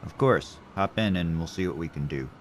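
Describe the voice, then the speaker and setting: Man acting deep-voiced, nervous taxi driver. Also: lively intonation, normal speed, very noisy. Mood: uneasy. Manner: thinks aloud, hesitant. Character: nervous, absent-minded male taxi driver. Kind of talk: audio drama acting. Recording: vehicle ambience behind the voice.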